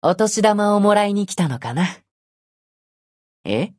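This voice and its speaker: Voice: medium pitch, lively intonation, takes it slowly, darkish tone, no echo, clean studio sound. An actor voicing a childlike, mild-mannered ancient sword spirit in a Japanese game, playfully indignant. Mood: playful protest. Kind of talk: Japanese game character voice lines.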